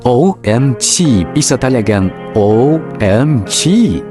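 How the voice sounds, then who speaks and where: A man's voice: mid-range voice, big pitch swings, slow pace. A man playing a lovestruck office worker, narrating a love story in the first person with dreamy, gushing delivery. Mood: dreamy, smitten. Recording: music playing behind the voice. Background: music.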